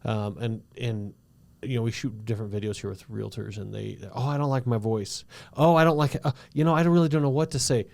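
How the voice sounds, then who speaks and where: A man's voice: medium pitch, steady tone, fairly quick, creaky, darkish tone. A man hosting a podcast in casual chat, chatty, often laughing and acting out quotes. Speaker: podcast host.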